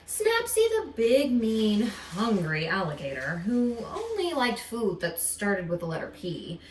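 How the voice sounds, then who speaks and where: Female storybook narrator: expressive, slow and suspenseful, with an echoey, slightly distant recording. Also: mid-range voice, a little hiss or hum. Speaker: storybook reader. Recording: echoey room, distant from mic.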